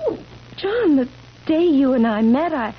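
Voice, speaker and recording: An actress playing a mysterious, eternally young wife in a 1970s radio drama, dramatic and insistent. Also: female voice, high voice, animated, a little slow. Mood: evasive. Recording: muffled, faintly noisy vintage radio recording.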